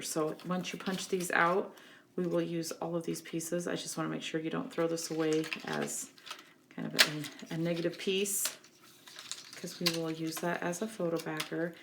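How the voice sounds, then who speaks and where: A woman with a scratchy voice from a cold, giving a relaxed, chatty scrapbooking tutorial. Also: on the low side, a little slow. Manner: relaxed, chatty, many ums. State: getting over a cold, scratchy voice. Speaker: craft instructor.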